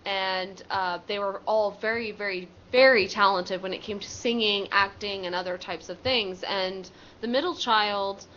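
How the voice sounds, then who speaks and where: A woman's voice: mid-range voice, fairly even intonation, a little slow, few pauses, pushed and bright. A young woman telling a story in casual podcast chat, with frequent ums. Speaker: podcast co-host. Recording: muffled lo-fi recording with faint noise.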